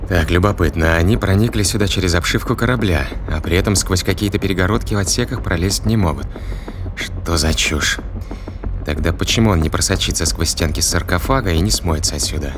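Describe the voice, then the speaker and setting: A man's voice: deep voice, noisy background, lightly acted. An actor playing a skeptical man on a spaceship, musing and humming as he reasons to himself in an audio drama. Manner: musing, muttering hesitant hums.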